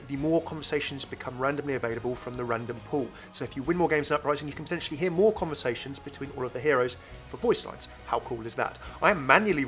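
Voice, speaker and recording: A man's voice: mid-range voice, fairly bright tone. A man hosting a gaming channel, giving chatty, enthusiastic, informal commentary on a video game.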